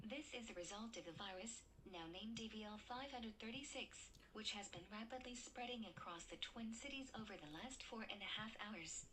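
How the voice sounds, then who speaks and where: A woman playing a news anchor who reads breaking disaster news in a formal, measured way. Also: medium pitch, sharp tone, slight background noise, natural voice, low-quality recording. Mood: urgent, formal. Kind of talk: scripted breaking-news narration.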